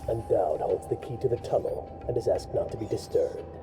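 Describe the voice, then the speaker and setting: A man's voice: lowish voice, normal speed, natural voice. An actor playing an uneasy, questioning assassin henchman in a video game, speaking formally. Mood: doubtful, impatient. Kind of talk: scripted game dialogue. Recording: echoey, distant, music behind.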